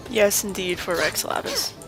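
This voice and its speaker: A woman gives casual commentary while she plays a game. Her voice sits at a middle pitch, and she mutters asides as she goes. Faint game music runs underneath. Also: sing-song, moderate pace. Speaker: game streamer.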